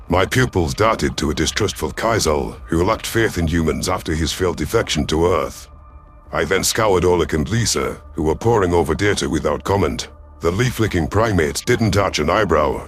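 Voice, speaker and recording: Man as a deep, gruff alien fleet leader. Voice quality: low, growling. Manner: snarling, commanding, impatient. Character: gruff, proud alien predator chief hunter, fleet leader. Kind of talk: first-person sci-fi audio drama narration.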